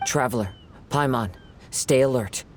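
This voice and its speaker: A man voicing a calm, serious, watchful scout in a game, measured and terse. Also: medium pitch, a little hiss or hum, lightly acted. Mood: calm, alert. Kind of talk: animated game voice acting.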